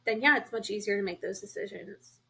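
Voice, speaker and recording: A woman co-hosting a podcast in casual chat, asking questions and thinking out loud. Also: medium pitch, fairly quick, gravelly, breathy edge. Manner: relaxed, asks questions, thinks aloud. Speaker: podcast co-host.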